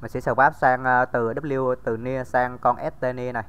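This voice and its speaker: A man's voice: mid-range voice, flat delivery, moderate pace, fairly bright tone, clean tone. A man giving a casual screen-recorded tutorial, talking straight to viewers with many fillers. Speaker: crypto tutorial host.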